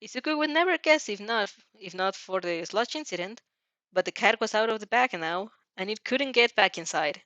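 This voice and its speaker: A woman narrates fan fiction aloud in a dramatic, slightly halting way. Her pitch is average, and the recording is dry and a little muffled. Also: lively intonation, a bit bright, dry recording.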